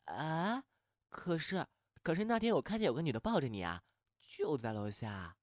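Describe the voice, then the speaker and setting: Male actor as lovestruck young man for audio drama: agitated, impulsive, very animated pitch, dry sound. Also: normal speed, no room sound. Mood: flustered. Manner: muttering, agitated, sighing, self-questioning. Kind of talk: Chinese audio drama acting.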